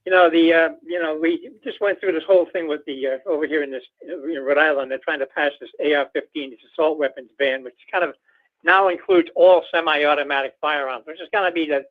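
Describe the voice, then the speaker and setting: Tinny phone line, quick higher-voiced male caller. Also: steady tone. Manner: rambling, folksy, leans on filler phrases. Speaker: caller to a radio show. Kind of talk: casual political phone-in chat. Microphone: telephone.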